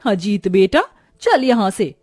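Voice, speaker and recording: A woman's voice: medium pitch, animated, takes it slowly, a little mellow, no echo, studio quality. A woman voicing a haughty, greedy rich mother-in-law in a cartoon story, imperious and dismissive.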